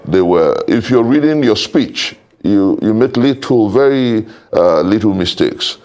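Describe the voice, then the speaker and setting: A Nigerian man speaking as a panel guest, giving political commentary in a deliberate, rhetorical way with formal wording. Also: fairly low pitch, lively intonation, a little mellow.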